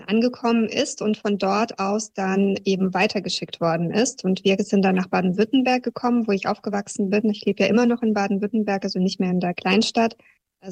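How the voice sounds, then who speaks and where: A woman's voice: medium pitch, fairly even intonation, fairly quick, creaky, darkish tone, dull audio. A woman in her thirties who grew up in Kazakhstan, a journalist speaking reflectively and with many hesitations about her own life. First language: Volhynian German. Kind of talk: personal account.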